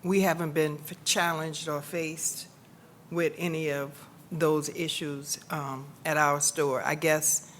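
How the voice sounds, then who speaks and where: Panelist, unhurried and deliberate, mid pitch. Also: a bit bright, a little hiss or hum. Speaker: bookstore panelist. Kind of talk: conference panel talk. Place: conference session room.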